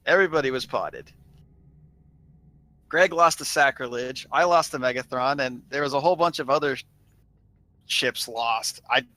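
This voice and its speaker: A man's voice: medium pitch, bright, forceful tone, dry recording. A man telling a story in a casual group chat as one of a gaming crew.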